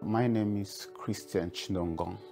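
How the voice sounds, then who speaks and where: A middle-aged man from Cameroon with a Cameroonian English accent, telling his story in an earnest, measured way in an office. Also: on the low side, steady tone, gravelly, over music. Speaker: interviewee, human rights activist. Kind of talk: personal testimony. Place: office, indoors.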